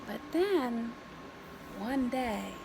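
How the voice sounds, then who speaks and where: A woman reading a story aloud to young children in an expressive, deliberate way, with simple phrases and dramatic pauses. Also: fairly high pitch, gravelly, very noisy.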